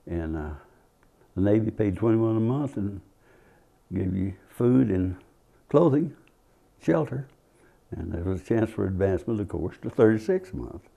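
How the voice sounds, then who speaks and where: A man's voice: fairly low pitch, expressive, normal speed, vocal fry, pauses often, mellow. An elderly American man, a war veteran, recollecting in an interview on a lapel mic. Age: 70s or older. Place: indoor interview studio.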